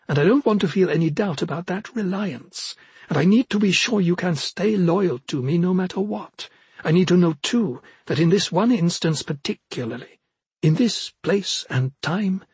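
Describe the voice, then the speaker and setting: Man voicing a proud young woman commander, raised pitch. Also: slightly rough, no echo, full character voice. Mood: insistent. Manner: insistent, controlled, pointed. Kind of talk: audiobook character dialogue.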